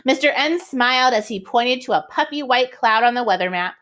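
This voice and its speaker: Animated woman reading to young children. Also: a little high, fairly quick. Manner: expressive, asks listeners questions. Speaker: storybook reader, teacher. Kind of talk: read-aloud storytelling for young children.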